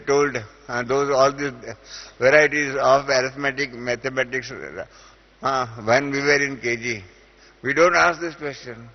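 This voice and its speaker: A middle-aged Indian man teaches a spiritual class in a large hall. His voice carries a long echo, and the recording sounds dull and lo-fi. He explains patiently, stopping often on "uh" between thoughts. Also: medium pitch. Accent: Indian English. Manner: explains through everyday examples, with many "uh" fillers.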